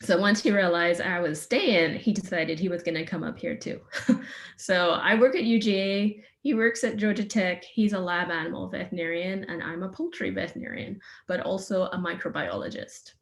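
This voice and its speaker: A woman's voice: lowish voice, steady tone, moderate pace, creaky. A woman in her forties from Trinidad with a Trinidadian accent, a veterinarian giving a friendly, enthusiastic science talk with some fillers. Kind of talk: informal science presentation. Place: remote online session.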